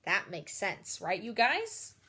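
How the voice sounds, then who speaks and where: A woman reading a children's picture book aloud like a teacher, expressive and encouraging, putting questions to her young listeners. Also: mid-range voice, takes it slowly, breathy edge. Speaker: read-aloud storyteller and teacher.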